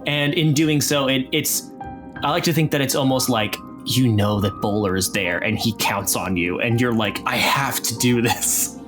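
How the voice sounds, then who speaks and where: A man's voice: mid-range voice, moderate pace, over music. A man narrating a tabletop role-playing game as game master in a casual style. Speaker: game master and narrator of an actual play podcast.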